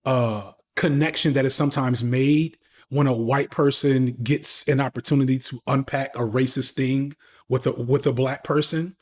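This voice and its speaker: A man's voice: medium pitch, fairly even intonation, normal speed. A man in his thirties, a podcast guest talking casually and candidly, with slang, swearing and frequent restarts. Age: 30s. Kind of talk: casual conversational interview.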